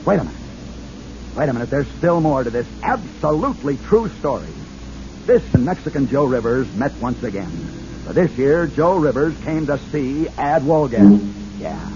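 A man's voice: mid-range voice, very animated, moderate pace, noisy background. A man hosting a radio show, narrating strange true stories in a theatrical, emphatic showman manner. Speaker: radio host. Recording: muffled vintage radio with music.

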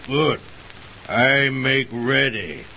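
An actor playing a solemn native fortune-teller who speaks halting broken English, in an old radio drama. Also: male voice, mid-range voice, lively intonation, a crowd in the background, poor audio. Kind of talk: 1930s radio drama acting.

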